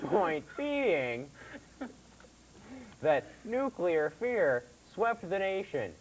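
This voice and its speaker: A man hosting a comedy puppet segment, talking haltingly as he banters and tries to keep a straight face. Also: high-pitched, very animated. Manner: halting, breaks into chuckles. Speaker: host. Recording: noisy amateur TV recording.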